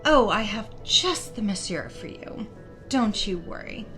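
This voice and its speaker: A woman's voice: mid-range voice, lively intonation, measured pace, a bit bright, faint music behind. A woman voicing a nonchalant, assured female spa receptionist in a fantasy story. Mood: cheerful calling. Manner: casual, assured.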